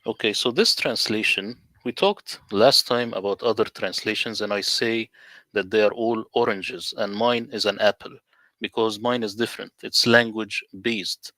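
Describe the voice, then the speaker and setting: Low-voiced man, native Arabic speaker. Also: steady tone, pushed and bright, no echo. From Middle East. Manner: deliberate, emphatic, lecturing. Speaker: guest. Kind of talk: casual livestream conversation.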